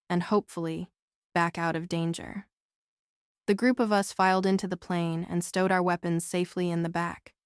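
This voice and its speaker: A woman's voice: fairly low pitch, clean tone, no room sound, studio quality. A woman reading scripted first-person novel narration as an audiobook narrator.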